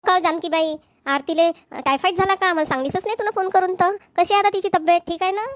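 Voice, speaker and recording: A woman's voice: very high voice, fairly even intonation, quick pace. A woman with a Vidarbha Marathi accent voicing a chatty, concerned middle-aged village woman in an amateur cartoon.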